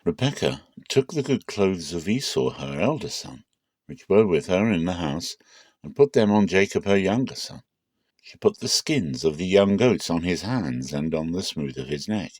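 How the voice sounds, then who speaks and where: A man narrating the Bible aloud as a dramatic reading, in a measured, solemn and expressive storytelling manner. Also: deep voice, no room sound, clean studio sound.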